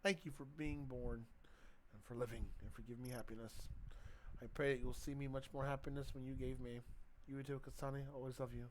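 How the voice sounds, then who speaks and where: A man's voice: medium pitch, fairly even intonation, brisk, a little hiss or hum, low-quality recording. A man casually reacting to a game and reading its text aloud, stumbling over words and swearing now and then. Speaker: let's-play streamer.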